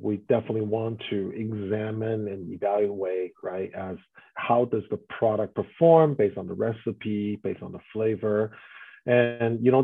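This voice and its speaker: A husky, slightly breathy man from Taiwan heard over a thin, phone-like line. He answers as a restaurant guest in an interview, explaining carefully and often adding a quick "right?" to his points. His pitch sits in the middle range for a man.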